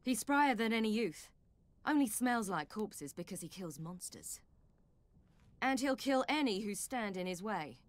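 A feisty young warrior woman in a fantasy game, wry and defiant. Her delivery is animated and confident, in a dry studio sound. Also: mid-range voice, a little slow, no room sound. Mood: assertive.

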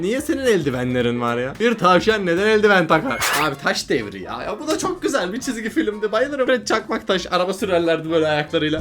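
High-voiced man, casual reaction host, mock-baffled questions, music behind him. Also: a bit bright. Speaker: YouTube host reacting to cartoon images. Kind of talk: casual comedic reaction commentary.

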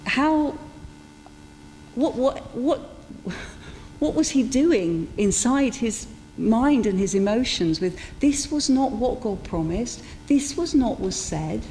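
A middle-aged woman giving an informal, reflective sermon in a conversational storytelling style, with pauses for emphasis. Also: on the high side, expressive, dark tone, soft background music. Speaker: preacher. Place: church interior, speaking to a congregation. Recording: echoey church hall with background noise.

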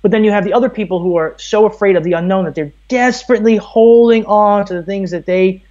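A man's voice: high voice, quick pace, a little mellow, highs cut off. A man in his thirties from Brooklyn with an American accent, speaking earnestly and emphatically as a podcast guest in a rambling, opinionated way. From Brooklyn, New York, USA. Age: 30s. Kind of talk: conversational interview, opinionated.

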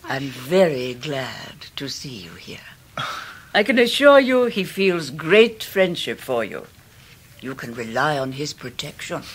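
A woman's voice: deep voice, big pitch swings, a little hiss or hum, heavily acted. Stately, proud English mother. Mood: proud, doting. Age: middle-aged. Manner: stately, formal, measured. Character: proud, doting upper-class mother of a grown son. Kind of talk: 1990s radio drama acting.

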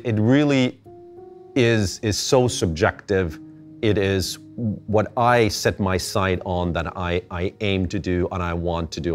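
A middle-aged man from Finland, answering interview questions thoughtfully, with halting restarts. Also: fairly low pitch. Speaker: interview guest. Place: indoor gallery space.

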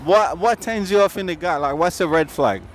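A man's voice: high voice, normal speed. A man doing street interviews at night, playful and repeating his questions. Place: busy city street at night.

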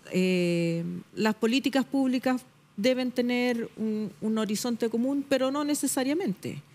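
A middle-aged woman journalist arguing her point on a television panel debate, emphatic and pausing often with fillers, recorded on a lapel mic in a studio. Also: on the high side, a little mellow. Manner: emphatic, searching for words with many fillers.

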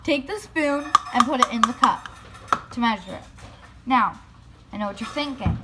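A woman's voice: fairly high pitch, animated, normal speed, very noisy. A young woman rambling casually and mocking herself while baking in her home kitchen. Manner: chatty, self-mocking, rambling. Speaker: vlogger. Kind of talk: casual vlog narration.

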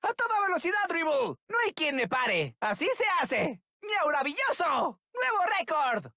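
A cheeky little cat taxi driver for a video game. The voice is very high and wildly swooping, exclaiming short catchphrases in a dry, close recording. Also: male voice, huge pitch swings, a little slow, pushed and bright, dry recording, exaggerated voice. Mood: eager, cheeky. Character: small excitable male cat cab driver, cheeky. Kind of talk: video game voice clips, Latin American Spanish dub.

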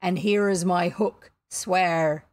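A woman's voice: fairly low pitch, fairly even intonation, slow, a little mellow. A woman voicing a proud, brooding male pirate captain in a storybook, commanding and theatrical, drawing out exclamations. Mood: scheming.

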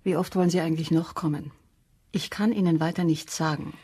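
Curt, husky, low-voiced woman witness. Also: fairly even intonation, brisk, dark tone. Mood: curt, irritated. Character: curt, weary, reluctant sister of a murdered man. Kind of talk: German crime radio play.

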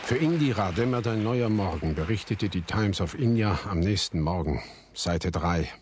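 An actor in a German radio drama playing a melancholy son who narrates his family's story in a breathy voice. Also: low voice, animated, moderate pace, darkish tone, a faint crowd, slight background noise. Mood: sober, wry.

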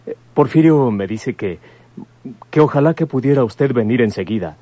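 A man playing a grave, considerate son in a radio drama, speaking politely and haltingly. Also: medium pitch, lively intonation, dark tone, no room sound, lightly acted. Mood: hesitant, grave. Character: grave, considerate son breaking bad news.

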